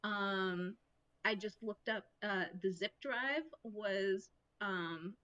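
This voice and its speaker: A woman playing a tabletop roleplaying game, haltingly and casually describing her character through a dull microphone. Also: mid-range voice, a bit bright.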